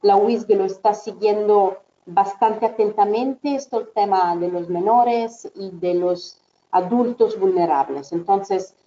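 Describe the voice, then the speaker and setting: Mellow-voiced woman hosting a webinar. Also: mid-range voice, highs cut off. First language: not Spanish. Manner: hesitant, repetitive, many fillers. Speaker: webinar host, moderator. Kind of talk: online webinar moderation.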